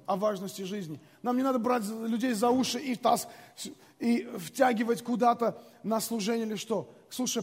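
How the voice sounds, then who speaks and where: A man's voice: high-pitched, a little mellow, husky, long reverb tail. A man preaching a sermon in a conversational way, repeating phrases and calling the congregation to respond. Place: large church hall.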